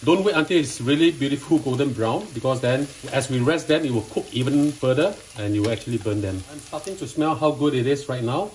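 A man's voice: medium pitch, expressive, brisk, few pauses, darkish tone, slight background noise, rough recording. A man with a Singaporean English accent talking viewers through a home recipe step by step, instructive and lightly enthusiastic. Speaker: cooking channel host. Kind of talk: cooking tutorial voice-over. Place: home kitchen.

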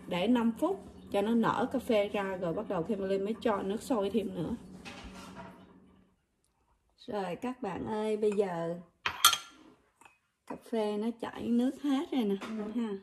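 A woman's voice: mid-range voice, moderate pace, background noise. A woman with a Southern Vietnamese accent talks viewers through a recipe step by step, easy and conversational. Her voice sits in the middle of the range. Clinking dishes and utensils can be heard plainly around her in a home kitchen. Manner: chatty, addresses viewers directly, step-by-step. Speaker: cooking vlogger. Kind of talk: casual kitchen demonstration.

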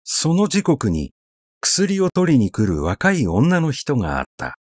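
A man reads literary fiction aloud at a slow, measured pace. His mid-pitched voice moves widely and expressively, yet stays conversational, as though he were simply telling the story. The sound is dry, with little room around it. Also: huge pitch swings, darkish tone, no echo. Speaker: narrator, literary reader.

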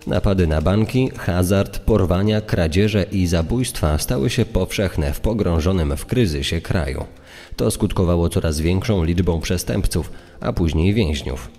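A man narrates true crime in a very deep, mellow voice. Long reverb trails his scripted lines. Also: a little slow. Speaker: guest narrator, podcaster. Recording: long reverb, music underneath. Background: background music.

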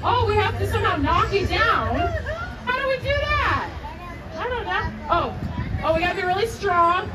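A young woman hosting an animated story time for children, exaggerated and encouraging as she addresses them. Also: very high voice, moderate pace. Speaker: theme park storyteller. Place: outdoor theme park stage, crowd behind. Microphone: picked up by distant camera. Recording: distant, echoey outdoor crowd, loud noise.

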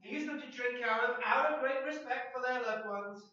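A man's voice: very high voice, a little fast, bright, forceful tone, distant mic. A man in his forties giving a chatty, rambling archaeology talk with slides, full of ums and asides, recorded on a laptop webcam in a small room. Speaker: archaeology presenter. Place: small room with a projector screen. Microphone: laptop webcam below him. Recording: echoey room, dull lo-fi laptop audio.

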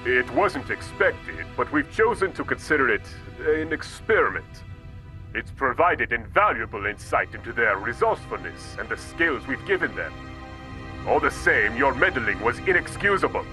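A man's voice: medium pitch, big pitch swings, normal speed, sharp tone, soft background music. Bastios, a man playing a cold menacing villain. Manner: smooth, condescending, coolly threatening. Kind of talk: audio drama acting.

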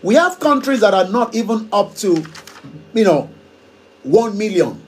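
Nigerian male radio host, forties, fairly high-pitched, pushes each question hard. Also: big pitch swings, a little slow. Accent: Nigerian, Yoruba. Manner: emphatic, questioning, switches into Yoruba. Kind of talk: call-in radio talk, reading comments. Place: studio room before green screen.

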